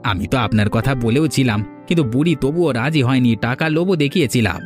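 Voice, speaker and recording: A man's voice: mid-range voice, animated, fast, faint music behind, natural voice. A man voicing an eager, goading henchman of a village boss in a cartoon story, urging insistently. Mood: eager reporting. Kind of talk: Bengali cartoon story voice acting.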